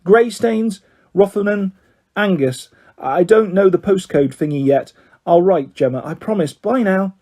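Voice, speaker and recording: A man's voice: sits high, animated, a little slow, dark tone, voice pushed up, heavily acted. A man voicing a sweet, sad young girl with a gushing, childlike, tender manner in a children's story. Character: young girl, sweet sad best friend who moved away.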